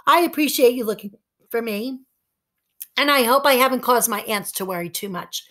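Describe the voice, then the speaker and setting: A polite, earnest little boy, as a woman storyteller puts him on, speaking apologetically and a touch wistfully. The voice stays fairly high and gentle. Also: moderate pace, natural voice.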